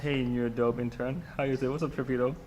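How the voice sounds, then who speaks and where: A man streams live as he walks outdoors in wind and rain, chatting to his viewers in a loose, slangy way. Clear outdoor noise runs under his voice, caught on a mobile camera mic. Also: medium pitch, level tone, fast talker, darkish tone, rough recording. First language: not Swedish. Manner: chatty, slangy, talks to chat. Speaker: livestreamer. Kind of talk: casual live-stream chat while walking.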